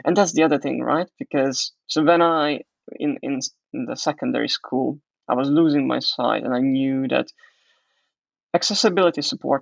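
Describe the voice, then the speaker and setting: A man's voice: mid-range voice, creaky, dry recording. A young man with a Hungarian accent, telling his story thoughtfully as an interview guest and often restarting his sentences. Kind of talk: conversational interview answer. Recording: muffled dry audio.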